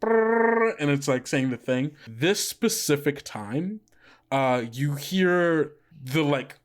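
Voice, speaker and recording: A man's voice: a little high, takes it slowly. A man narrating as game master, rambling with many fillers and frequent laughs.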